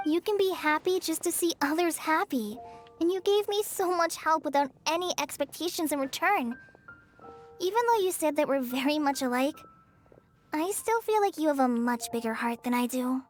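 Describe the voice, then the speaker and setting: A woman's voice: sits high, normal speed, faint music behind, natural voice. A woman voicing a timid, tentative teenage girl student in a video game. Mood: admiring.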